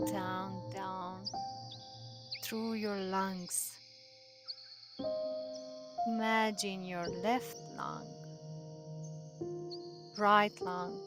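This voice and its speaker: A woman leading a guided meditation in a soothing, gentle manner, with drawn-out phrases and long pauses. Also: mid-range voice. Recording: voice over prominent background music. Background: meditation music.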